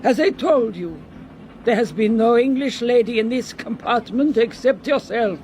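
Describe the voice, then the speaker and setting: An actor playing a haughty, coolly dismissive foreign passenger in a radio drama. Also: male voice, extremely high pitch, lively intonation, moderate pace, darkish tone, a little hiss or hum.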